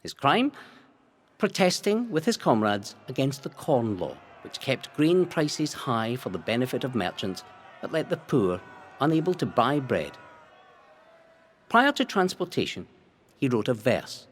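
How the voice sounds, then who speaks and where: A Scottish man reading a scripted history narration in a dramatic, deliberate storytelling way. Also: medium pitch, big pitch swings, slightly rough. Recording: long hall-like reverb.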